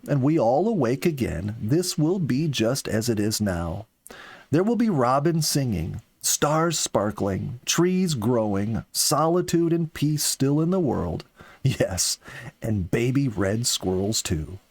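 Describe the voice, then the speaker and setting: A man reading the part of an earnest, philosophical young soldier in an audiobook, with slow, deliberate phrasing. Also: mid-range voice, very animated, a little mellow, husky, exaggerated voice. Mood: solemn, resolute. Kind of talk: audiobook character dialogue.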